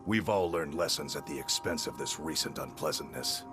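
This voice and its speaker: An actor playing a gruff, hardened Nordic warrior in a video game, stern and measured. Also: male voice, a bass voice. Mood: resolute. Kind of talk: video game character dialogue. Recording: music behind the voice.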